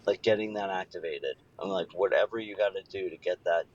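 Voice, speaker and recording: A man on a phone call, calmly reassuring a friend and hedging with many fillers. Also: low voice, brisk. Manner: calm, reassuring, hesitant with many "like"s. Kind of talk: phone conversation. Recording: dull phone line with faint hiss.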